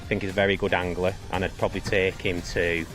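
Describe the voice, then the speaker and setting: A man's voice: low-pitched, level tone, quick pace, fairly bright tone, soft background music, poor audio. A man giving plain, matter-of-fact interview answers. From St Helens, England. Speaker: competitor interviewee.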